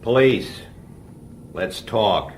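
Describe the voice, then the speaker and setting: An actor playing a gruff, world-weary police detective in a radio drama, with a blunt manner. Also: male voice, lowish voice, lively intonation, drawn-out words. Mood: confrontational. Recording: very noisy old radio drama recording.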